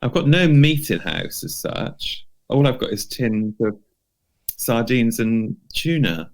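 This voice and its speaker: A man's voice: mid-range voice, sing-song, slow pace. A man co-hosting a podcast, chatting casually with dry, understated humour. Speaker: podcast co-host. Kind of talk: casual chat.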